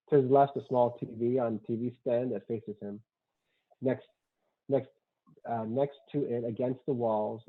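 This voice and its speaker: Casting: male script narrator, mid-range voice, matter-of-fact, thin band-limited recording. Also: medium pitch. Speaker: stage-directions narrator. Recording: muffled, dull lo-fi sound.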